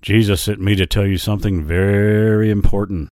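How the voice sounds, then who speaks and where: Brief: a man playing a big, gentle lion, low and solemn, dry close sound. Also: fairly even intonation, no room sound, natural voice. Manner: slow, earnest warnings. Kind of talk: storybook character voice.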